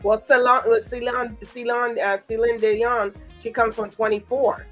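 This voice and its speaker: A woman's voice: on the high side, level tone, a little slow, a bit bright, soft background music. A woman from Montreal hosting a talk show and chatting casually with a guest. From Montreal, Canada. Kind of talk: casual interview chat.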